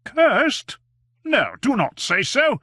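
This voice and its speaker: A man voicing an anxious elderly doctor with high, agitated exclamations in an audiobook. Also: wildly swooping pitch, slow pace, sharp tone, dry recording, clean studio sound, heavily acted. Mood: alarmed. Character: anxious elderly male doctor, fretful and fatherly. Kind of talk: audiobook character voice.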